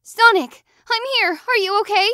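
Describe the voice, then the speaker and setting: A woman's voice: very high voice, moderate pace, sharp tone, no room sound, studio quality. A woman voicing an earnest, affectionate young cartoon animal friend in a fan audio drama. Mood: excited.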